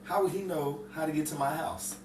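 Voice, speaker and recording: A man's voice: on the high side, brisk, natural voice. A man playing a flustered, defensive driver in an old-time radio comedy, stammering and repeating himself. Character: excitable, defensive, bumbling man driving a cramped car. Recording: roomy, distant, clearly noisy.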